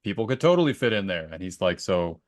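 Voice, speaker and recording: A man's voice: low-pitched, big pitch swings, quick pace, no echo, clean studio sound. A man co-hosting a podcast in casual conversation, telling stories and acting out bits of remembered dialogue. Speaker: podcast co-host and story reader. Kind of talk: casual book discussion with personal anecdotes.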